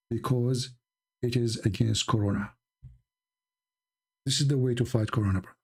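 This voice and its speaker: A man hosting a livestream, sounding mocking, sarcastic and short on patience. His voice sits at an ordinary pitch but carries a gravelly fry and a husky, rough edge. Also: a little mellow. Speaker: livestream host. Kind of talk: argumentative livestream talk.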